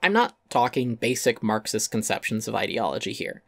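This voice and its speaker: A man's voice: fairly low pitch, very animated, a little fast, gravelly, dry recording, studio quality. A man giving an articulate, emphatic and wry scripted reading of an essay as its narrator.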